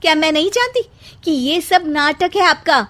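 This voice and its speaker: Woman acting scornful, bossy mother-in-law, high-pitched. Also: animated, normal speed, noisy background. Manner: sharp, scolding, sarcastic. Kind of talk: animated moral story acting.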